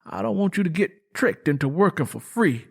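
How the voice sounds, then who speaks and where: A man's voice: on the high side, big pitch swings, brisk, vocal fry, a little mellow, slightly rough, pitched above the performer's own voice, exaggerated voice. A man voicing a plain-spoken, determined poor country man in an audio drama, with folksy phrasing. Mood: resolute.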